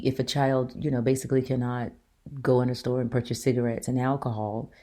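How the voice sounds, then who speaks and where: A middle-aged woman with a strikingly low, deep voice talks on her own show. Her tone is mellow and dark, and she speaks earnestly, pressing her points with questions to the listener. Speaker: show host. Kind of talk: solo opinion talk.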